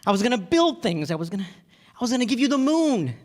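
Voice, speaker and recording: A man's voice: very high-pitched, very animated. Man playing an earnest, stammering young husband. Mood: dejected. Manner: stammering, halting, folksy. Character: earnest young husband, flustered, self-doubting, principled. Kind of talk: radio play acting. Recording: echoey hall, stage reverb.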